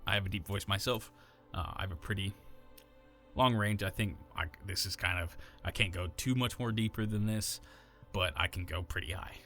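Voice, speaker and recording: A very low-pitched man from the American South, speaking with a neutral accent and a natural gravel. He explains a voice build in a loose, rambling way, with plenty of fillers and restarts. Faint music sits underneath. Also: normal speed, fairly bright tone. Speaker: voice actor. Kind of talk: explaining how he builds a character voice.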